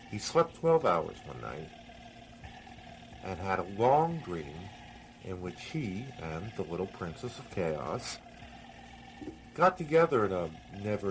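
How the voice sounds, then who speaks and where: A man telling a story in an informal, conversational way, halting and pausing mid-sentence. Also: low voice, slight background noise, poor audio. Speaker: storyteller reading an original story. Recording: music playing behind the voice. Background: music.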